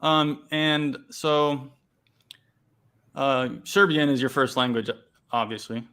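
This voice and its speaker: A man's voice: medium pitch, steady tone, slow, fairly bright tone, smooth. A man hosting a casual interview, asking questions with many fillers and restarts. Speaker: interview host.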